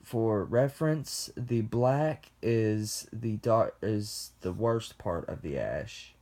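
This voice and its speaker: Young male student presenter, lowish voice, slow measured read. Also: a little mellow. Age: young adult. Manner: slow, deliberate reading with pauses. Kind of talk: class presentation read from script.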